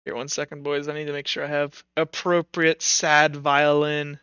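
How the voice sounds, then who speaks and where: Bright, higher-voiced man running a game. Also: monotone, clear, resonant tone, no echo, highs cut off. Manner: halting, informal delivery with many "like"s. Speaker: game master. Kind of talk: improvised tabletop roleplay narration; casual chat with players.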